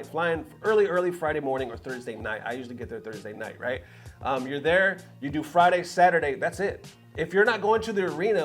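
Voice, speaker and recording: A man from California's Bay Area with a fairly high voice hosts a casual gaming vlog. He talks in an animated, slangy, conversational way and swears freely. Music plays behind him. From San Francisco Bay Area, California. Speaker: YouTube gaming news host. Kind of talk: casual opinionated vlog talk to viewers. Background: background music.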